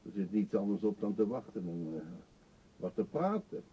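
An actor playing an eccentric, talkative older beggar in a radio drama, pushy and wry, confiding theatrically. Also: male voice, fairly low pitch, sing-song, moderate pace, mellow, a little hiss or hum. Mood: chatty, insistent. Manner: chatty, insistent, theatrically confiding. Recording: dull, muffled old radio play recording.

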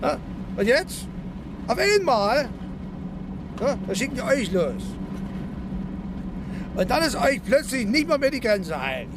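A male actor in a German radio drama playing a gruff, suspicious village policeman, blunt, sarcastic and interrogating. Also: very high-pitched, sing-song, a little slow, long pauses, heavily acted. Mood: accusing. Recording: outdoor ambient noise behind.

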